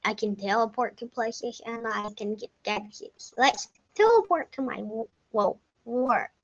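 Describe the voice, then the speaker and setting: Young female gameplay narrator: slow, casual, rambling delivery with a slightly high voice, recorded on a dull home mic. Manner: rambling, self-correcting, slips into whispers. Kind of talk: casual game commentary. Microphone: desk microphone.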